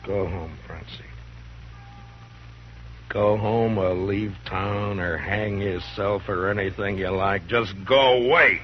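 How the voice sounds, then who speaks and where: An actor playing a calm, commanding frontier marshal in a 1950s radio drama, speaking low and firm. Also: male voice, soft background music. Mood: stern. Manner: low, measured, firm; rises to a shout facing a mob. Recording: dull old radio recording with faint hiss.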